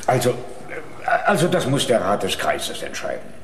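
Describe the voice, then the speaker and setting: A weary, flustered museum keeper in a radio crime play. His halting voice is low and animated, in an echoey room. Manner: hesitant, halting. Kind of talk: German radio crime drama acting. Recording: echoey room, faint noise.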